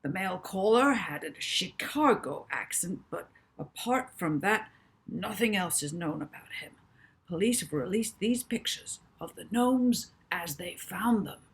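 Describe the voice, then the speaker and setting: A woman reading a storybook, voicing an excited male TV news reporter with emphatic, dramatic announcing. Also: on the low side, measured pace, creaky.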